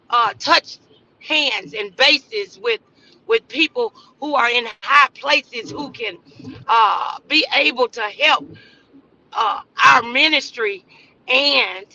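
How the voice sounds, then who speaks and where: A woman hosting a motivational faith podcast, speaking off the cuff in an earnest, halting, preacherly way. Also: on the high side, vocal fry, bright, forceful tone, dull audio. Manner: preacherly, earnest, halting delivery with repeated phrases. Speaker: host of a motivational talk podcast. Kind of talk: inspirational faith talk, loosely improvised.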